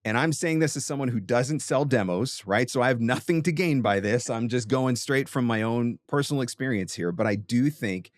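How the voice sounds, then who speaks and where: A man's voice: mid-range voice, animated, moderate pace, hardly any pauses, dry recording, studio quality. A man hosting a talk and giving conversational coaching advice, emphatic and repeating phrases for stress. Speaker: host and voiceover coach answering a question.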